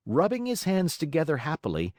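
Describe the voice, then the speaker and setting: A man's voice: on the high side, wildly swooping pitch, brisk, a little mellow, dry recording, clean studio sound. A man narrating a children's story as an audiobook reader, in a theatrical, emphatic way.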